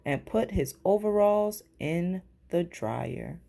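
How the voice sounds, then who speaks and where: A woman narrates a children's storybook in a teacher's read-aloud style. Her voice is lowish and mellow, with a creaky edge. She reads slowly. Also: lively intonation. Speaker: teacher reading a picture book aloud.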